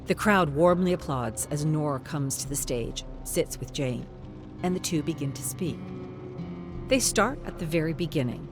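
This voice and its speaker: A woman narrates a scripted story with dramatic delivery. Her voice sits slightly low and moves expressively, with music underneath. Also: lively intonation, moderate pace.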